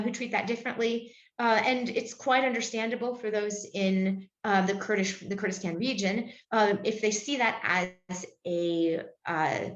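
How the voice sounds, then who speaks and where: Hesitant woman on a roomy, dull line. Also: medium pitch. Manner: hesitant, heavy with fillers and restarts. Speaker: Benedictine nun, interview guest. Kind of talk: careful conversational answers.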